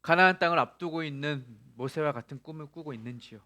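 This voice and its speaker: A man's voice: a little high, fast talker, bright, forceful tone. A male pastor preaching a sermon, asking the congregation rhetorical questions and rising to emphatic peaks.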